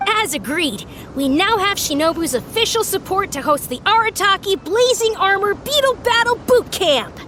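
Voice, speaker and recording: High-voiced, grandiose young warrior. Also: female voice, lively intonation, normal speed, hardly any pauses, fairly bright tone, a little hiss or hum. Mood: proclaiming. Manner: formal, pompous, theatrical. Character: earnest, grandiose young warrior devoted to beetle battling. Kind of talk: animated video game voice acting.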